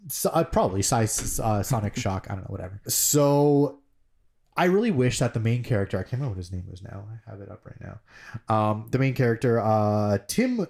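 A man's voice: lowish voice, very animated, brisk, creaky, a little mellow. Rambling young male podcast co-host. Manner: rambling, heavy on filler words. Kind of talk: casual chat.

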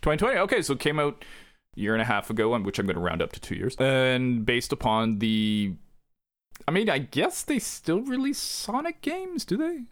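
A man's voice: mid-range voice, wildly swooping pitch, moderate pace. A young man co-hosting a podcast, chatting in a joking, self-deprecating way. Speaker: podcast co-host. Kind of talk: casual chat.

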